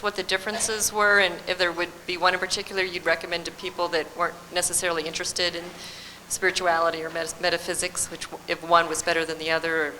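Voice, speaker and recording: Quick, bright-voiced woman asking a question. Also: on the low side, slight background noise, poor audio. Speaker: audience member asking a question.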